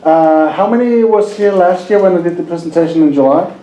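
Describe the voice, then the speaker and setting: Danish-accented male seminar speaker, jokey and chatty, mellow tone, roomy echo. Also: sits high, a little fast. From Denmark. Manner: jokey, asks the audience questions. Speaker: hypnosis presenter. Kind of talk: live seminar talk.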